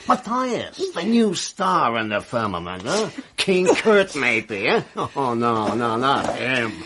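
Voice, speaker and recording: A man's voice: medium pitch, very animated, takes it slowly. An actor playing a crude, drunken, menacing man who taunts and sneers in a radio drama. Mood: sneering, lecherous. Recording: noisy archive radio drama recording.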